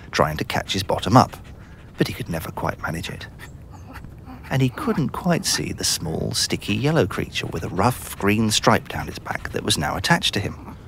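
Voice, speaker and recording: A man narrating a scripted children's story in an expressive storytelling manner. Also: sits low, vocal fry, a little hiss or hum.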